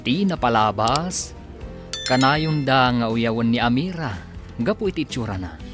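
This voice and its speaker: Animated male radio drama announcer over music. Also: mid-range voice. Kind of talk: scripted dramatic narration. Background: instrumental music.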